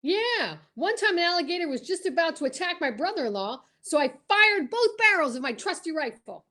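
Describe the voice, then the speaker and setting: A high-pitched, cheeky comic stooge from an old radio comedy , played by a woman. He is dim and eager, swinging up and down as he spins tall tales toward silly punchlines. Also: sing-song, a little fast, bright, forceful tone, heavily acted. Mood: boastful.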